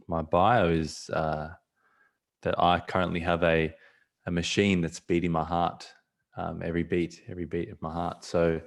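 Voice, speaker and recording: Very low-voiced soft-spoken man. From South Africa. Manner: halting, soft-spoken, many restarts. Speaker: guest speaker.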